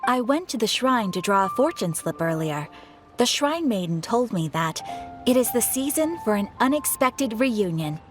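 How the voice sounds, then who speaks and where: An actress playing a polite, serene, slightly enigmatic receptionist in a video game, speaking with formal courtesy. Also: female voice, a little high, sing-song, moderate pace. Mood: pleasant. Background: music.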